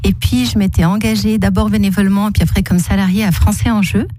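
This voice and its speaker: Hesitant woman around sixty, mellow tone. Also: medium pitch, fairly even intonation, fast, no room sound. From Portugal. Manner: thoughtful, searching memory, many hesitations. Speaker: library director, radio guest. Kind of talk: casual radio interview. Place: radio studio. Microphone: studio microphone.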